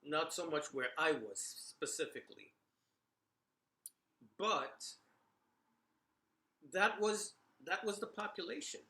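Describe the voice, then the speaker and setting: Middle-aged New man, bright, slightly high voice, chatty storyteller, echoey room. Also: lively intonation, slow pace, pauses often. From New York, Bronx. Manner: conversational, frequent "you know" and "right?". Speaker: commentator sharing memories. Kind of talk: casual monologue.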